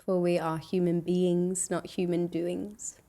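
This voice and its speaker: A woman guides a meditation with a mellow, relaxed tone. Her pitch sits slightly low and stays very even. She speaks gently and soothingly, leaving long pauses between phrases.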